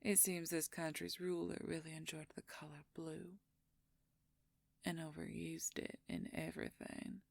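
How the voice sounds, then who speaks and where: A woman playing a smug, possessive royal werewolf schemer in an audio roleplay, silky and condescending, with a husky, breathy, creaky near-whisper. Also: low-pitched, level tone, no room sound, full character voice. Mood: smug, courting. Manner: silky, condescending, slow and deliberate. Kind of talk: audio roleplay acting, addressing the listener.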